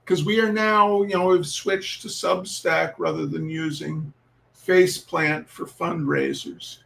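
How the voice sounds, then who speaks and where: Male host, high voice with some fry, theatrical and emphatic, for comic readings and informal audience chat. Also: flat delivery, a little slow, a bit bright. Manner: showman-like, emphatic, repeats phrases. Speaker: host reading humour pieces.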